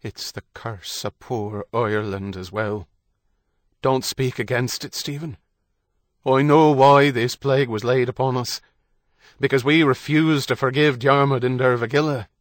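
A man's voice: fairly high pitch, expressive, measured pace, no room sound, studio quality, voice pushed up. A man voicing a fierce young Irish mother from an audiobook novel, firm and insistent in her pleading. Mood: firm, fearful.

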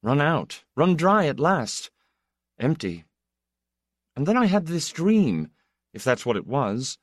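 A man's voice: a little high, big pitch swings, takes it slowly, no room sound, studio quality, voice pushed up, heavily acted. A man voicing an intense, melancholy younger brother, a proud poet who speaks in a restless, confessional, emphatic way.

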